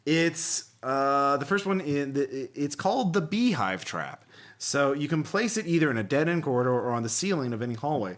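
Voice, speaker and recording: A man's voice: mid-range voice, fairly bright tone. A man hosts a casual hobby podcast in an ordinary mid-range voice. He is easygoing and conversational, moving between reading game text aloud and commenting on it, often with a chuckle. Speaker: podcast host.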